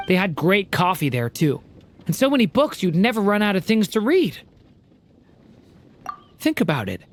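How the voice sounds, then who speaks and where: An actor voicing a gentle, unsure young game character, speaking softly with hesitant stammers. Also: male voice, high-pitched, a little hiss or hum. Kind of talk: video game voice acting.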